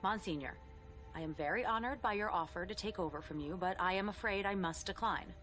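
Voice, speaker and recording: A woman's voice: medium pitch, brisk, a bit bright, faint music behind, natural voice. A woman as a formal, resolute churchwoman. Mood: polite, resolute. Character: formal, firm churchwoman dictating a letter. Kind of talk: video game voice acting, dictated message.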